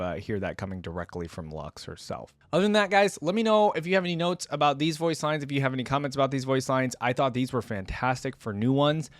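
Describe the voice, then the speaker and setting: A man's voice: medium pitch, dry recording. A man hosting a reaction video, chatting informally as he gives commentary. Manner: informal, conversational. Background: faint music.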